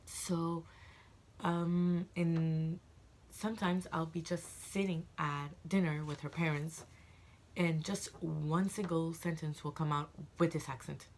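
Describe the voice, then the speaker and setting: A woman's voice: low-pitched, steady tone, slow, darkish tone, a little hiss or hum. A woman in her twenties from Egypt, chatting casually to camera as a vlogger, rambling with frequent fillers and restarts.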